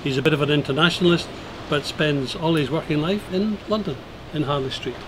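A man's voice: fairly high pitch, a little fast. An older man interviewing a guest with formal, polished questions on an outdoor terrace. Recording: outdoor noise behind voice.

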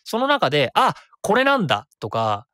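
A man around forty, hosting his own web radio show in a chatty, rapid way that stays easy to follow. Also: high voice, wildly swooping pitch, pushed and bright, clear, resonant tone, no room sound, clean studio sound. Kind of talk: casual solo radio talk.

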